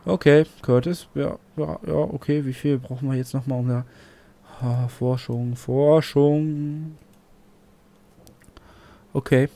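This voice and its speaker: A man giving let's play commentary on a flight game, excitable, swearing often and talking to himself. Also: medium pitch, slow pace, gravelly, pauses often. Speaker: gaming channel host. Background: game music.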